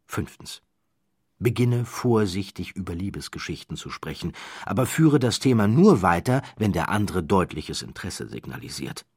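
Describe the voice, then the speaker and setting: Deep, husky male audiobook narrator. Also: big pitch swings, normal speed, no echo, clean studio sound. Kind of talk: scripted storytelling narration. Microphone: close studio microphone, dry.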